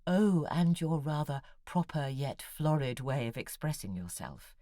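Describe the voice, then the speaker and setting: An actress playing Monica, a forty-six-year-old novelist who talks in a wry, confiding way and sometimes sinks to a near whisper. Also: female voice, low-pitched, very animated, unhurried, warm tone, no room sound, studio quality. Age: 40s. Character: Monica, a 46-year-old woman novelist, thrice married, wry and self-doubting. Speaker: writer. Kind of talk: audio drama acting, epistolary.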